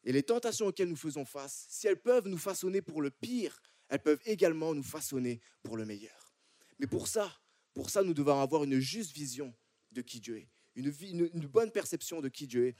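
A man preaches to a congregation in French with an accent from France. His voice is fairly high for a man, with a soft, mellow tone, and it sounds very dry and close. He often circles back, repeating words and restarting phrases as he builds his point. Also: lively intonation, a little fast, no room sound. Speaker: church preacher. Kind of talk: sermon to a congregation.

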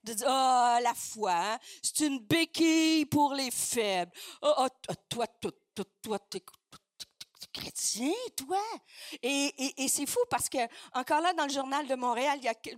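A woman's voice: high-pitched, animated, unhurried, no echo, clean studio sound. A woman with a Québécois French accent preaching a sermon in an emphatic, declaratory way, with some hesitant fillers.

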